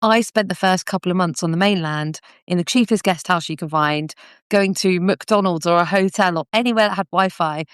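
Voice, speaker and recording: Female British podcast guest, casual storyteller, clean clear tone, close dry sound. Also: lowish voice, a little fast, no echo. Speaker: podcast guest, business owner.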